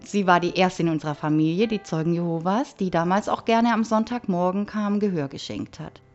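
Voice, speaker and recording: A woman's voice: fairly low pitch, a little fast, soft background music. Earnest woman in her fifties, lo-fi. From southern Germany. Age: 50s. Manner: reflective, earnest storytelling. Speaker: interviewee. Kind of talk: personal testimony.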